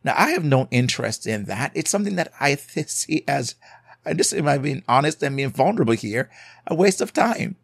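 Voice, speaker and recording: A man's voice: medium pitch, big pitch swings, brisk, vocal fry, slightly rough, dry recording, clean studio sound. A man with a Jamaican accent who hosts a podcast as a marriage coach. He gives advice in an earnest, conversational way and often checks in with his listeners. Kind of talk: solo podcast advice talk.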